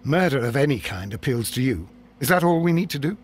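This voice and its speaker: An actor playing a loyal, wry English companion to a detective in a video game, dry and gently teasing in manner. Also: male voice, medium pitch, very animated, a little fast, a little hiss or hum, natural voice. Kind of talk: video game cutscene acting.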